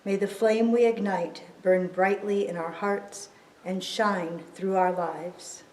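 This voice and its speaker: A woman giving a ceremonial scripted reading as worship leader, with measured, solemn phrasing. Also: medium pitch, steady tone, a little mellow. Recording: roomy, distant microphone in church.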